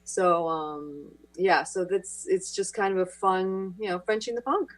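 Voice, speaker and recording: A woman's voice: lowish voice, unhurried, no room sound, poor audio. A woman musician from France, a guest on talk radio, speaking casually and hesitantly with many fillers.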